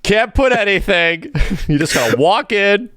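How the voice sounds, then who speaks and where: A man's voice: sits high, sing-song, unhurried, a bit bright, no echo. A man co-hosting a podcast, throwing in short casual remarks and banter. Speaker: podcast co-host.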